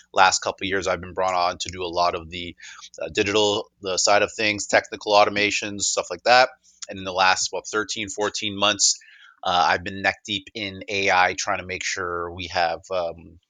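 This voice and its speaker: A man's voice: on the low side, sharp tone, no echo. A middle-aged Canadian man giving an informal business presentation in an emphatic, conversational explaining manner. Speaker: AI and digital automation consultant presenter.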